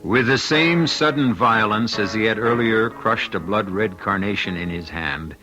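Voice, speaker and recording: A man narrating a scripted old-time radio drama as its host, in theatrical, formal, deliberate phrasing. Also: on the low side, highs cut off, over music.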